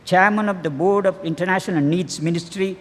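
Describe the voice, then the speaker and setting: An older man with a Sri Lankan accent and a notably high-pitched voice reads a formal tribute. His delivery is measured and list-like, with small slips that he corrects. The sound rings with the long reverb of a large hall. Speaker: minister reading a colleague's tribute. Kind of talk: formal read-aloud biographical tribute. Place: large reverberant hall, church conference service.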